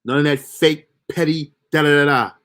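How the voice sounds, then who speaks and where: A man's voice: on the high side, fairly even intonation, moderate pace, fairly bright tone. A young man hosting a livestream, talking loosely in slang and swearing as he gives himself a pep talk. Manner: loose, slangy, motivational self-talk with profanity. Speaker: livestream host. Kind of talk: casual livestream monologue.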